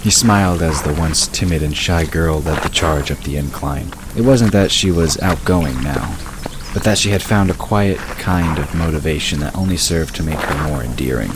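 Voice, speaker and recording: Male narrator, extremely deep, measured storytelling; hissy recording. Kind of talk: scripted audiobook narration. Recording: hissy recording with bird ambience.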